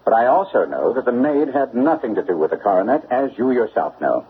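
A man's voice: mid-range voice, sing-song, a little fast, close to an everyday voice. An actor playing a shrewd, self-assured male detective in a classic radio drama, speaking precisely and formally. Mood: stern, explaining. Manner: precise, formal, incisive delivery. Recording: phone-like old radio recording, faint noise.

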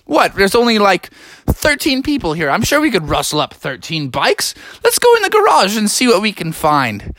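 A man's voice: sits high, huge pitch swings, normal speed, fairly bright tone, a little hiss or hum, pitched above the performer's own voice, full character voice. A man voicing a boisterous, pushy beaver father in a made-up bedtime story, blustering and overexcited. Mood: insistent.

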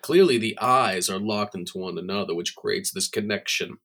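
A man improvising a smug voice coach with a confident, deadpan delivery. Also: moderate pace, vocal fry. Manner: deadpan, confident, drops voice low for effect. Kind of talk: improv comedy podcast.

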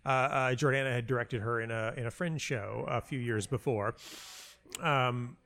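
Man chatting in an animated, anecdotal way. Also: medium pitch, normal speed, gravelly. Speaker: interview guest, playwright and audio dramatist. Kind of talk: casual anecdotal interview talk.

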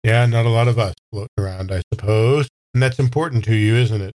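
Man playing a low-voiced, dry, probing man. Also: steady tone, quick pace, darkish tone, lightly acted. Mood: wry, probing. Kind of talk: improvised roleplay in an audio drama game.

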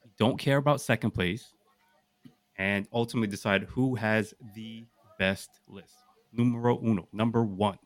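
A man hosting a casual podcast, explaining and asking questions in deliberate, emphatic phrases. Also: fairly low pitch, fairly even intonation, dry recording. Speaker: podcast host.

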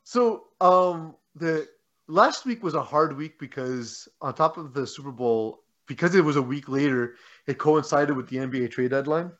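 A man's voice: a little high, animated, moderate pace, fairly bright tone, lo-fi sound. Man chatting loosely about sports. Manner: rambling, frequent restarts and fillers. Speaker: sports podcast co-host. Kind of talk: casual sports chat.

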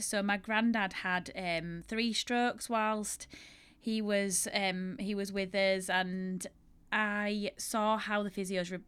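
A young woman with a strong Yorkshire accent, talking through her own story in a relaxed, chatty way. Her voice is smooth and clear, and she often pokes fun at herself. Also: medium pitch. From England. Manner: chatty, self-deprecating, laughs often. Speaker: podcast host and bridal shop owner. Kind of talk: casual solo podcast storytelling.

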